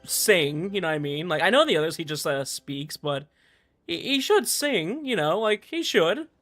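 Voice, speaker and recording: A man's voice: high-pitched, big pitch swings, normal speed, a bit bright, soft background music. A man doing casual livestream game commentary, chatty, repeating words and trailing off. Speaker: game streamer.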